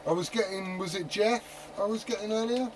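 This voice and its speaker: A man with a slightly high voice talks on CB radio through heavy noise. His chat is loose and slangy, with casual swearing. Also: brisk. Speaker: amateur CB radio operator. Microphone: CB radio set. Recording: noisy CB radio transmission, heavy static.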